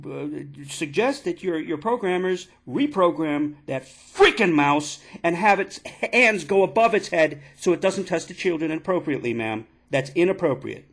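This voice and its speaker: A man playing an indignant father making an absurd complaint on a prank call, halting and repeating himself insistently. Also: on the high side, expressive, fairly bright tone. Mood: solemn, insistent. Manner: halting, repetitive, insistent, addressing listener as ma'am. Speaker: prank caller.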